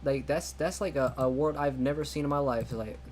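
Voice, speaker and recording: A young man streaming a game, chatting casually and rambling with many restarts, quick to laugh. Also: medium pitch, a crowd in the background. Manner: laughs easily. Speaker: streamer playing a game mod.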